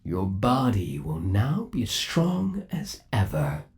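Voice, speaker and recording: A man acting as an obsessively devoted undead animatronic lover in an ASMR roleplay. His low voice moves slowly and swings widely in pitch. He coaxes theatrically, savoring every endearment with eerie tenderness. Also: huge pitch swings, gravelly, a little mellow, full character voice. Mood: soothing, obsessive. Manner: theatrical, coaxing, lingering on endearments.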